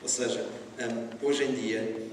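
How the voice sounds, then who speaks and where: A man's voice: mid-range voice, fairly even intonation, slow. A man from Lisbon on a panel, talking earnestly to students and repeating phrases for emphasis. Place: school hall. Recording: echoey hall from far off, noisy.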